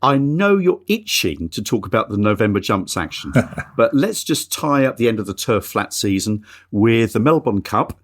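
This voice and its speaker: A man's voice: on the low side, big pitch swings, moderate pace. A middle-aged man hosting a horse racing podcast in an easygoing, chatty way. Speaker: podcast host. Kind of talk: casual podcast chat.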